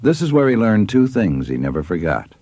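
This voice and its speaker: A man's voice: lowish voice, sing-song, fairly quick, warm tone, dry recording. A man narrating a scripted commercial as an announcer.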